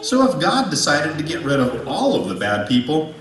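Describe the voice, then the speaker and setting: Man voicing animated, earnest puppet co-host, roomy sound. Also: a little high, normal speed. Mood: earnest teaching. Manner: patient, instructive, exaggerated intonation. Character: earnest male puppet co-host answering Bible questions. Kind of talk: scripted puppet comedy dialogue. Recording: roomy echo, distant from microphone.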